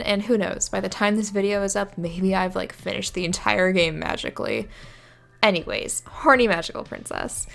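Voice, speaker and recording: A woman's voice: medium pitch, creaky. A young adult woman giving casual, informal video commentary on games in a chatty, enthusiastic way. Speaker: video creator. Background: background music.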